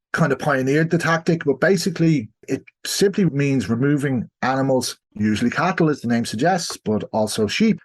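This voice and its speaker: A man's voice: medium pitch, a little mellow. Irish man explaining history in an interview. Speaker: historian guest.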